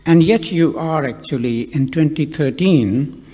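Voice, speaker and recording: A middle-aged man interviewing an author on a festival stage, asking formal, halting questions into a handheld mic. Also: on the high side, dark tone, breathy edge. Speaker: interviewer, academic moderator. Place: large stage hall at a festival. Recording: long reverb of a hall.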